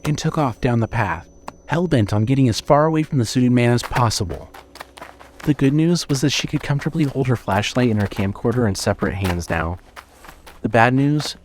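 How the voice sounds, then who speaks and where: A man narrating scripted horror fiction in a measured, dramatic storytelling manner. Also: medium pitch, a little mellow, a little hiss or hum.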